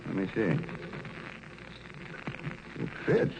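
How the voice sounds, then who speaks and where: An actor playing a plain-spoken, puzzled working-class garage sidekick in a 1940s radio drama. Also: male voice, drawn-out words, highs cut off. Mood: amazed. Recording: very noisy old radio recording.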